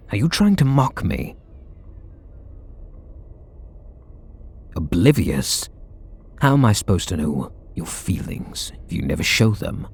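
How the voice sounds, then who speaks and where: A man voicing a flustered, tender super villain in an ASMR roleplay, speaking softly and intimately. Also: exaggerated voice. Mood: startled. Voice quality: low gravelly husky voice. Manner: soft and intimate, bashful.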